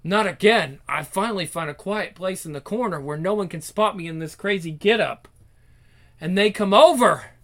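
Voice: mid-range voice, normal speed, fairly bright tone, lightly acted. A performer voicing a troubled, sarcastic, self-conscious young teenage girl in a dramatic inner monologue for a story. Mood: anxious, mortified.

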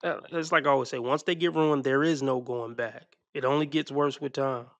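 A man's voice: medium pitch, fairly even intonation, fairly quick, fairly bright tone, dry recording. A man giving blunt, mocking reaction commentary on video clips.

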